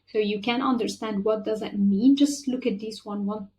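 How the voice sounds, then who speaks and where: A woman's voice: medium pitch, fairly even intonation, moderate pace, warm tone. A young woman with a Persian accent, a native Farsi speaker, hosting a chatty, practical tutorial voice-over. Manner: chatty and practical, with frequent fillers. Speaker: channel host.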